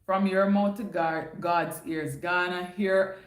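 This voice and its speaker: A woman's voice: fairly low pitch, fairly even intonation, takes it slowly. Chatty Jamaican woman, roomy sound. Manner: chatty, slips into patois phrasing. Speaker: livestream host answering chat. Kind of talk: casual livestream Q&A. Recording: roomy echo.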